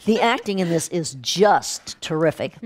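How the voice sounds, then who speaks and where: A woman's voice: lowish voice, expressive, measured pace. A middle-aged woman co-hosting a casual review chat, stressing her points emphatically and repeating words. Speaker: film review show co-host. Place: home kitchen, indoors.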